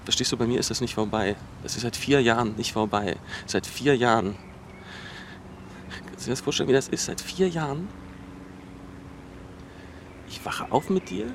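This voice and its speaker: An actor playing a bitter, obsessive ex-boyfriend in a naturalistic radio drama, speaking insistently in halting, repetitive bursts. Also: male voice, mid-range voice, noisy background, close to an everyday voice. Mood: wounded, pleading. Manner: insistent, accusatory, halting with repeated words.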